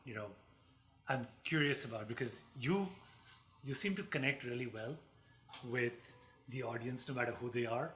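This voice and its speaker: A male co-host with a crisp, bright voice at a middling pitch. He talks through long, meandering questions, pausing on fillers as he works out what he wants to ask. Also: low-quality recording. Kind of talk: conversational livestream interview.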